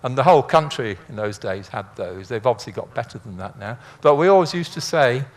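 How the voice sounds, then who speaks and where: A man's voice: medium pitch, expressive, a little fast. An older man giving an informal illustrated lecture in a chatty, rambling, anecdotal way with dry humour. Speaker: speaker, former air traffic controller.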